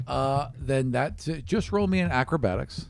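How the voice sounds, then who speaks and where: A man's voice: medium pitch, animated, moderate pace, creaky. A man speaking as game master, narrating the action of a tabletop role-playing game.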